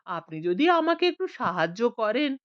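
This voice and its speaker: A woman's voice: fairly high pitch, very animated, moderate pace, highs cut off. A woman voicing a frightened, courteous man lost in a forest, speaking anxiously and politely in a comic audio story. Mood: anxious, pleading.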